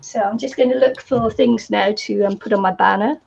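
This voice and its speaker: A woman with a New Zealand accent chatting casually on a live craft stream, her voice croaky today, rambling with lots of ums and little laughs. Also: mid-range voice, steady tone, normal speed, a little mellow. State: croaky voice from the heat. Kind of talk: casual chat while crafting. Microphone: computer or camera mic with a fan nearby. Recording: slightly dull lo-fi sound.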